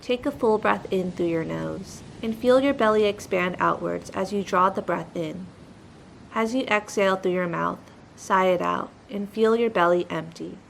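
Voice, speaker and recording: A woman narrates a guided meditation with soothing, gentle instruction. Her medium-pitched voice carries noticeable creak. A faint low rumble sits in the background of the recording. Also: normal speed, low-quality recording.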